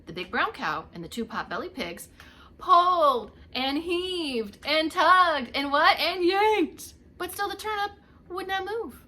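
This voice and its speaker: A woman's voice: high-pitched, bright, forceful tone, a little hiss or hum. A woman reading a story aloud to young children like a preschool teacher, theatrically drawing out the action words and talking to her listeners.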